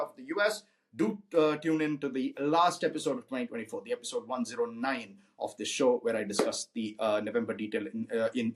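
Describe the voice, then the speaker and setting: Hoarse man talking through market numbers. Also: a little high. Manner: conversational, many restarts and fillers. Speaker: podcast host. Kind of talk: solo finance commentary. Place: indoors in a cold city.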